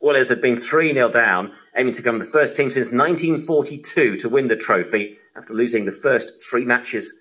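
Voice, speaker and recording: A man's voice: mid-range voice, fairly quick, hardly any pauses, a bit bright, breathy edge, low-quality recording. A man presenting live sports news as a radio host, heard over a shortwave radio broadcast.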